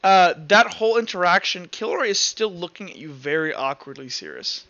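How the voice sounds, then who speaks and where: A man's voice: high-pitched, lively intonation, a little fast, pushed and bright, dull audio. A man narrating an improvised tabletop roleplaying game as the game master.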